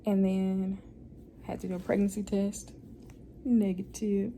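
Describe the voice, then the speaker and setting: A young woman vlogs from a hospital room, talking straight into her phone camera. She chats in a loose, candid way and laughs easily, and the room's noise is clearly heard behind her. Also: medium pitch, level tone, measured pace, warm tone. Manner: chatty, candid, laughs often. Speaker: vlogger. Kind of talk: casual hospital vlog.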